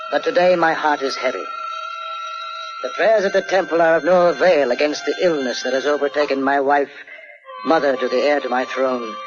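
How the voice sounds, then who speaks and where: A man's voice: a little high, lightly acted. An actor playing a grave, stately lord and father with a heavy heart, in an old radio drama. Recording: dull vintage radio recording, music behind.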